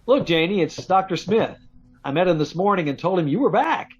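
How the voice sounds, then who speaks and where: A man's voice: sits high, expressive, fairly quick, background noise. A man playing an eager, lovestruck young suitor in 1940s radio drama style, earnest, pleading and stammering. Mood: cheerful. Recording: dull lo-fi remote call audio.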